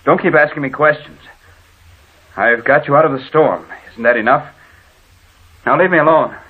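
An actor playing a brooding, irritable husband turning menacing in an old radio horror drama, curt and commanding. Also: male voice, on the high side, moderate pace, slightly rough. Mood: cold, menacing. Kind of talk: vintage radio horror acting. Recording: muffled, phone-like old radio recording.

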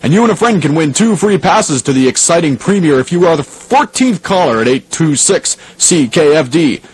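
A man playing a 1980s radio station host, speaking in a measured, formal announcer style. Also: on the high side, lively intonation, lightly acted. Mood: upbeat, announcing. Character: 1980s male radio DJ hosting oldies, turning newsreader. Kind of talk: radio DJ and news bulletin announcing. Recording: noisy radio broadcast recording.

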